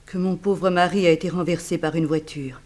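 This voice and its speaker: An actor playing a plaintive, trusting widow in a 1960s French radio drama, speaking earnestly about misfortune. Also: male voice, fairly high pitch, fairly even intonation, a little fast, darkish tone, natural voice. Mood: plaintive, resigned.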